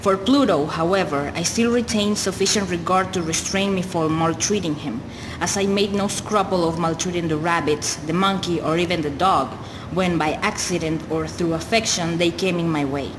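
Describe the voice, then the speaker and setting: Over clear noise and long reverb, a confessional narrator recites in a radio play voiced by a woman. The voice is a little low, formal and measured, like someone reading out a literary confession. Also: lightly acted. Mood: grave confession. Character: confessional narrator, an animal lover ruined by drink. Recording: noisy, long hall-like reverb.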